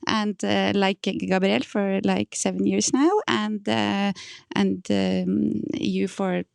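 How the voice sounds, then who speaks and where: A woman's voice: mid-range voice, little pitch movement, slow, gravelly, darkish tone, smooth, dry recording. A woman in her forties, a gallery owner, hosting a casual panel talk in a hesitant way, full of fillers and restarts. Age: 40s. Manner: hesitant, many fillers and restarts, laughs easily.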